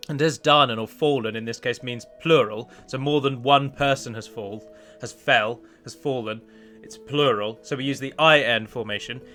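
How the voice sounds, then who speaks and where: Guy doing a chatty lets play, bright voice, pokes fun at himself, bit of music under it. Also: mid-range voice, moderate pace. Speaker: gaming video host and mod developer. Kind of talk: casual let's play commentary.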